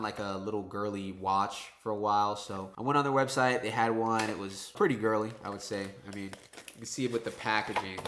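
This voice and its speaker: A man's voice: mid-range voice, fairly bright tone, a little hiss or hum. A young man in his twenties with a stuffy, nasal voice from allergies, chatting casually to camera at home. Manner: laid-back, chatty, says like and bro. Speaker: vlogger. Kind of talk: casual vlog talk to camera. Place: at home indoors.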